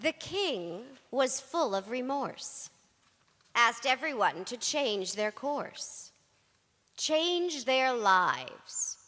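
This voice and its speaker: A woman performs dramatic spoken-word storytelling in measured, theatrical short phrases. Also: a little high, very animated, fairly bright tone. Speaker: cast member in a dramatic reading. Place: large synagogue hall. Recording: reverberant large hall.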